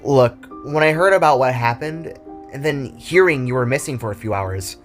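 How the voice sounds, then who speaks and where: A man's voice: medium pitch, normal speed, close to an everyday voice. A man voicing a teasing but caring young girl in a narrated story. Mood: gentle, hesitant. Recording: music behind the voice.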